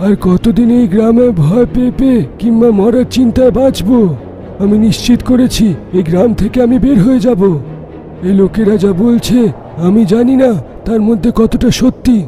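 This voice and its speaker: A man's voice: extremely high pitch, warm tone, a little hiss or hum. A man voicing a desperate, frightened villager in a horror cartoon, despairing yet defiant. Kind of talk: animated horror cartoon acting.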